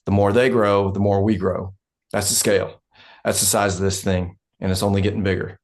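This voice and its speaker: A man's voice: sits low, little pitch movement, brisk, a little mellow. A man playing a blunt, world-weary oil company land man in a script read. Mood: matter-of-fact. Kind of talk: dramatic script table read, monologue.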